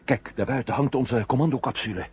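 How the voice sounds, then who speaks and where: An actor playing an alert, urgent astronaut on a lunar mission in a 1970 radio drama, heard through a thin, noisy link. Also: male voice, mid-range voice, fairly quick, darkish tone, husky. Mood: urgent, reassuring. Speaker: actor in a science fiction radio serial. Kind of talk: 1970 Dutch-language radio drama acting. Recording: tinny phone-like radio link, hum and noise.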